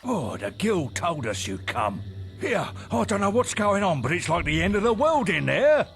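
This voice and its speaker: An actor playing a nervous, eager, chatty lowly soldier on guard duty in a fantasy video game. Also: male voice, sing-song, normal speed, fairly bright tone, soft background music, exaggerated voice. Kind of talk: character acting for a video game.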